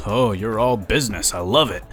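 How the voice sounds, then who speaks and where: An actor playing a slick, smug lobbyist in a sci-fi audio drama, chatty and condescending. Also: male voice, lowish voice, normal speed, fairly bright tone, soft background music, natural voice. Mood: confiding, scheming. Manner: chatty, smooth-talking, condescending. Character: smug, slick, scheming male political lobbyist.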